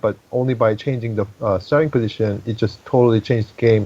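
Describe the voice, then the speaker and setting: Man, non-native English speaker, halting and searching for words, a bit muffled. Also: medium pitch. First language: not English. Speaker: podcast guest, competitive shooter. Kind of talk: casual chat.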